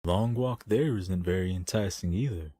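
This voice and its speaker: A man's voice: low-pitched, moderate pace, dark tone, husky, dry recording, studio quality. A man playing a wry, grumbling older camp guard in an amateur comic dub.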